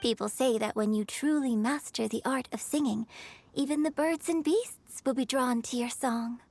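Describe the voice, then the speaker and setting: A woman playing a graceful young opera performer in a video game, speaking in a poised, articulate, gently instructive way. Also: high voice, normal speed. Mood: calm instructive. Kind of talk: scripted video game character dialogue. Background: music.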